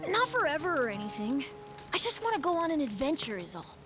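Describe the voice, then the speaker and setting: High-pitched plucky young kid. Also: female voice, big pitch swings, fairly quick, music in the background. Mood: curious, sincere. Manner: casual, plainspoken. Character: curious, adventurous young child, plucky and sincere. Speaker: video game character actor. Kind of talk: English video game dub, cinematic dialogue.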